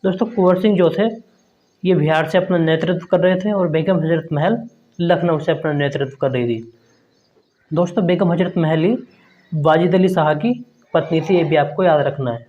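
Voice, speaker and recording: Slightly high man coaching through a quiz. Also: moderate pace. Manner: reads out options, keeps addressing viewers as friends. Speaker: exam prep teacher. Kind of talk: quiz-style exam coaching lesson. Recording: dull lo-fi sound.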